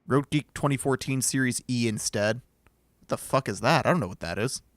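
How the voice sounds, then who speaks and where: A man's voice: mid-range voice, a little fast, no echo. Man, streamer, laid-back chatter with laughs and swearing, dead-dry sound. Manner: reads game lines aloud, laughs and swears. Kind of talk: casual chat over a game.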